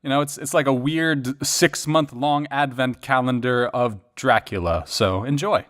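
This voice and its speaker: A man's voice: mid-range voice, unhurried, fairly bright tone. Casual, rambling male podcast voice actor. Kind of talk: casual reflective chat about acting work.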